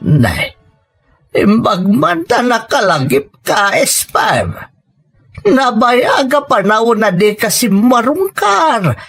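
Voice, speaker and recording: A man's voice: extremely high pitch, big pitch swings, slow pace, gravelly, fairly bright tone, slightly rough, full character voice. A man playing a blunt, protective old grandfather in an Ilocano audio drama, with a Filipino accent and an emphatic, reproachful delivery. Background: music.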